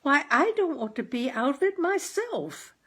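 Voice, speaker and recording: A woman voicing a chatty, practical and earnest New England mother with folksy turns of phrase and a husky tone, in a story reading. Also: high voice, big pitch swings, normal speed, a bit bright, pitched above the performer's own voice. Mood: earnest insistence. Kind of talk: audiobook character dialogue.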